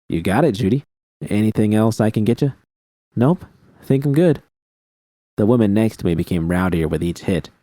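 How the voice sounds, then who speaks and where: A man's voice: sits low, dark tone, dry recording. A man reading scripted first-person fiction as an audiobook narrator.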